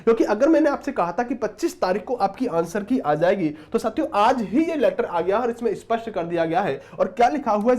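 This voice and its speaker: A man's voice: high voice, a little mellow. A man giving an informal exam update as a teacher, reading out a notice and often addressing viewers as friends. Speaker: exam-prep teacher and presenter.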